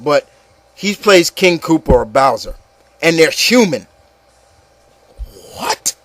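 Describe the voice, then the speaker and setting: A man with a slightly high voice, recorded close and dry, delivers a casual, opinionated rant. He sounds exasperated and repeats his words for emphasis, with some profanity. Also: sing-song, slow pace, fairly bright tone, no echo. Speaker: host of an opinion show on video games.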